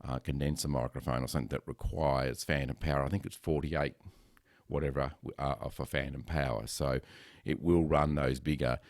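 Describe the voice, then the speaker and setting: A man who runs a podcast, chatting casually about his recording gear in a loud, resonant voice, rambling with lots of ums and self-corrections into a close headset microphone. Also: extremely low pitch, steady tone, normal speed, no echo. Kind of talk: casual gear talk to camera. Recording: dry, close sound.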